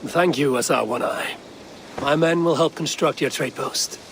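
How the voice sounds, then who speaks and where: A resolute Viking warrior for a game cutscene. His voice sits a little high for a man and he talks in short, plain, commanding lines, wary of others. Faint ambient noise sits behind him. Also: moderate pace, gravelly, fairly bright tone. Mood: resolute, blunt. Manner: terse, direct, plain-spoken. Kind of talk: video game cutscene acting.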